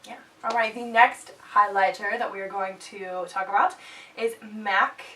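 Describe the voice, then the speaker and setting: A woman's voice: mid-range voice, fairly even intonation, measured pace, a bit bright. A young woman in her twenties with a stuffed-up nose, chatting casually and jokily as she reviews products for her channel. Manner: bubbly, chatty, jokey asides. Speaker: beauty vlogger. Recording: slightly distant mic, faint background hiss.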